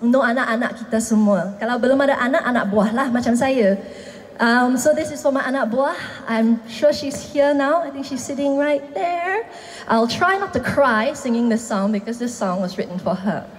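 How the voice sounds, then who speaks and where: A woman's voice: on the high side, moderate pace, in a large hall. Woman singer chatting on stage. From Penang, Malaysia. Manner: cheerful, laughing between phrases. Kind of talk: live concert stage banter. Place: outdoor rooftop concert stage at night. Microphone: handheld stage mic through PA. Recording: live concert audio with band music. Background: band and audience.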